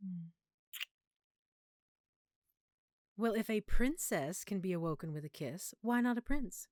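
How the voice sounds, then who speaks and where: A woman playing a lovesick, guilt-ridden vampire girlfriend in an intimate audio roleplay, speaking tenderly and confidingly with dramatic pauses. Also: medium pitch, animated, a little mellow, no room sound, studio quality, close to an everyday voice. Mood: playful, affectionate. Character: lovesick, guilt-ridden female vampire hiding her nature from boyfriend.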